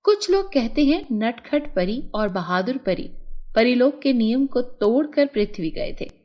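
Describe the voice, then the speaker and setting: A woman's voice: high-pitched, darkish tone. A woman narrating a scripted fairy tale for children.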